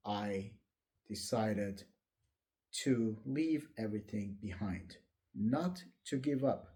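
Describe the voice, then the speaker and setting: A man's voice: fairly low pitch, dark tone. A man in his late forties, a non-native English speaker, telling his personal story in a candid, reflective, somewhat hesitant way. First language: not English. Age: late 40s. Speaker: vlogger. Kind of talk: personal life story told to camera.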